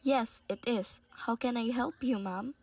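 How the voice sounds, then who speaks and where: Woman doing polite hospital desk girl in a radio drama, highish voice. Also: unhurried, gravelly, a little mellow, dry recording. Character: polite young female hospital receptionist. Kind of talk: student radio drama acting.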